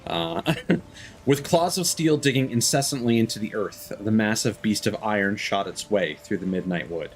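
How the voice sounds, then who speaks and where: A man's voice: fairly low pitch, moderate pace, slight background noise. A man live-streaming a video game, commenting casually and reading story text aloud.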